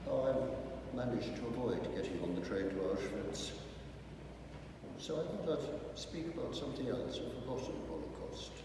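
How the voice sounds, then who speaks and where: Elderly man giving testimony in a reverberant hall. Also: mid-range voice. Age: older. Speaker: Holocaust survivor speaker. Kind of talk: personal testimony talk. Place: large council hall. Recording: echoey hall, mic far off, noisy.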